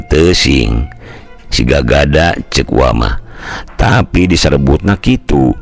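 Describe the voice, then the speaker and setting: An older man with a West Javan Sundanese accent, telling a folk tale in a colloquial, conversational way. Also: very deep voice. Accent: Sundanese-speaking West Java. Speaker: storyteller. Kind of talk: traditional folk tale narration with acted dialogue.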